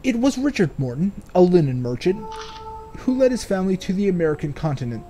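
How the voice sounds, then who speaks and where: A man's voice: high voice, big pitch swings, fairly quick, mellow, faint music behind. A man doing casual game commentary and reading game text aloud, sardonic and free with swearing. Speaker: let's player.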